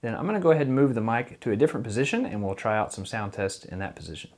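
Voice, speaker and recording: Low-voiced man, relaxed gear review. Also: racing, soft background music. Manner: relaxed, rambling with many fillers. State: slightly low voice, getting over an illness. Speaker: music teacher reviewing a microphone. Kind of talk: casual gear review and demo. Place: small, fairly dead room. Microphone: condenser microphone, no pop filter, varying distance.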